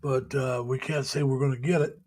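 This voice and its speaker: A middle-aged man hosting a casual live stream, chatting with viewers in a folksy, rambling way, laughing often and pausing on many uhs. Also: medium pitch, fairly even intonation, a little mellow, soft background music. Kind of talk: casual chat reading viewer comments. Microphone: home webcam or computer mic.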